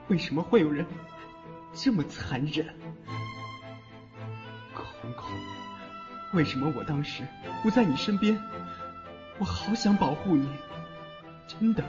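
A man acts a young audio-drama charmer, teasing yet protective, with a widely swinging pitch. The sound is a little dull, with soft music behind. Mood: anguished, tender. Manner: playful, teasing. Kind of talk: Chinese audio drama acting.

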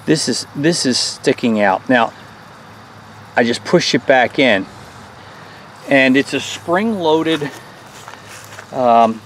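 A man's voice: mid-range voice, lively intonation, slow, pauses often, fairly bright tone, a little hiss or hum. A man in his forties hosting a hands-on small engine repair tutorial outdoors on a lawn, explaining each step in a plain, folksy way.

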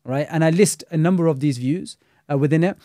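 A man's voice: on the high side, a little fast, mellow, no echo, clean studio sound. A man, an Islamic scholar and teacher, talking critically in a casual podcast discussion, scattering Arabic religious terms through his speech.

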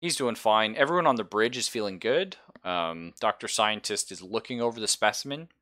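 Male game master, low-pitched with a bright, forceful edge, informal and sweary storytelling. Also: expressive, moderate pace. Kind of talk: casual narration of a tabletop roleplaying game. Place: online game session, streamed.

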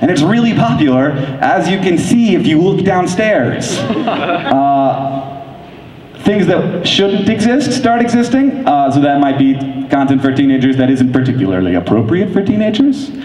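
Male keynote speaker, fairly high voice, rapid nerdy enthusiasm, heard from far back in an echoey hall with faint crowd hum. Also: sing-song, a little mellow. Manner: fast, enthusiastic, filler-heavy with frequent likes. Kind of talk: conference keynote talk. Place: large convention hall stage. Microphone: handheld microphone.

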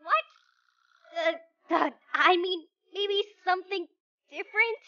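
A woman's voice: very high voice, slow pace, sharp tone, lo-fi sound, heavily acted. A woman voicing an earnest, easily flustered teenage girl in a manga dub, girlish and sincere. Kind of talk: manga dub character acting.

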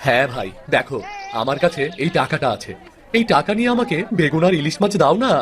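A man's voice: a little high, big pitch swings, quick pace. A man playing a simple-minded village husband in a cartoon folktale, childlike, earnest and whiny. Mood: meek pleading. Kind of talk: fairy-tale cartoon acting.

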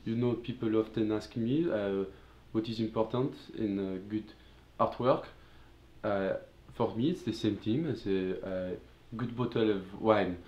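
A young man voicing a pompous Italian art lover with an Italian accent, halting over his words. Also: fairly low pitch, a little mellow, roomy sound, lo-fi sound, voice pushed down, close to an everyday voice. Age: 20s. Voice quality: halting, hesitant.

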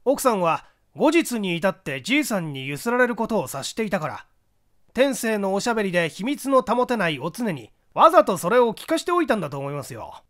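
A man's voice: high voice, sing-song, a little fast, fairly bright tone. A man playing a hasty, confident newspaper reporter in a mystery story, eagerly and emphatically laying out his deductions.

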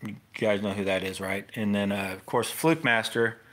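A man's voice: lowish voice, normal speed, fairly bright tone. Rambling middle-aged American man, casual vlogger. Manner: rambling, informal, self-interrupting. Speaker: fishing video host. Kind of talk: casual unscripted fishing tutorial.

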